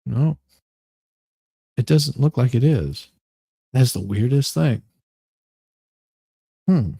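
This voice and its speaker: A man hosting a livestream, moving between casual chat and mock-dramatic readings with theatrical, drawn-out emphasis. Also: medium pitch, expressive, creaky, mellow, breathy edge, no room sound, clean studio sound. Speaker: livestream host.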